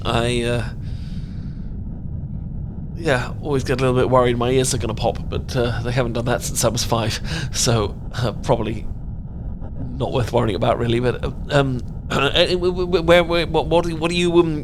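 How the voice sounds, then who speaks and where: A man voicing a bookish British man who trips over his own words, repeating himself and rambling whenever he gets nervous. His voice sits at an ordinary pitch for a man, in an intimate audio romance with a faint hiss behind it. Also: lightly acted. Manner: stammering, rambling, flustered. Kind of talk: ASMR romance roleplay.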